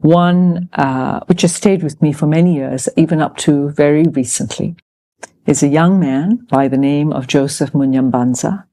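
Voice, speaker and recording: An interviewee speaking deliberately, recalling a story with hesitant fillers. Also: medium pitch, mellow. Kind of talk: interview recollection.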